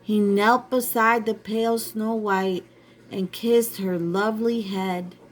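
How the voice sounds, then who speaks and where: A woman's voice: medium pitch, steady tone, slow, poor audio. A woman reading a story aloud to children, addressing her young listeners directly like a teacher.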